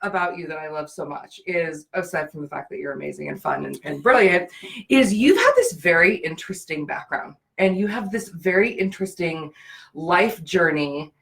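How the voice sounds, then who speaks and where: A woman's voice: fairly low pitch, lively intonation, normal speed, creaky, a bit bright. A woman speaking as the host of a live business interview show. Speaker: business show host, brand and profit strategist.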